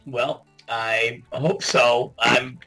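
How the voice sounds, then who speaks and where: A hoarse, gravelly middle-aged man, worn out after an all-nighter, chatting as a podcast guest with lots of fillers and laughs. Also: mid-range voice, steady tone, drawn-out words, bright, forceful tone, soft background music, rough recording. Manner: rambling, laughs often, many fillers. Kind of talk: casual interview chat.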